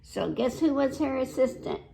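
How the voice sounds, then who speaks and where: A woman hosting a children's storytime, reading aloud and pausing to put simple questions to young listeners. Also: high voice, expressive, creaky, warm tone, rough recording. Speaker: children's library storytime host.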